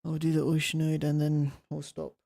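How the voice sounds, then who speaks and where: A man's voice: fairly high pitch, monotone, normal speed, vocal fry, dark tone, dry recording, clean studio sound. A man chatting casually as he streams a game, offhand and half muttering to himself. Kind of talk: casual live gameplay chat. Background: game music.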